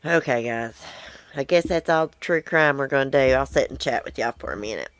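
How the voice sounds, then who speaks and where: A woman's voice: extremely low pitch, lively intonation, brisk, clear, resonant tone, no echo. Blunt wheezy woman chatting. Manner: blunt, outspoken, rambling. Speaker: livestream host. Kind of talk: casual live chat, reading viewer comments. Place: outdoor porch.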